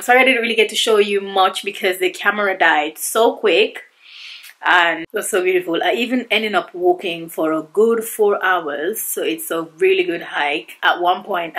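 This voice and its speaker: A woman's voice: lowish voice, pushed and bright, dry recording. Chatty young woman vlogging a cold hike. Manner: chatty, breathless, exclaiming. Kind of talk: casual vlog chat. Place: outdoors on a muddy hillside trail, then a car, then a bedroom at home. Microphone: handheld camera.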